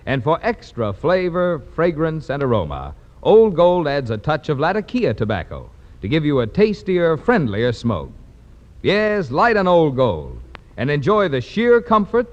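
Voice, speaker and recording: Very animated American male 1940s radio announcer. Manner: polished sales pitch, emphatic phrasing. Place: radio studio hall during a rehearsal. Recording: muffled 1940s radio recording, echoey hall.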